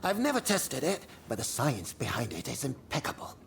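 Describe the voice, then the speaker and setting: A man voicing an excitable, eccentric inventor in a video game, flustered and theatrical in his delivery. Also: medium pitch, normal speed, vocal fry, slight background noise, exaggerated voice. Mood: eager, instructing. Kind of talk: video game cutscene acting.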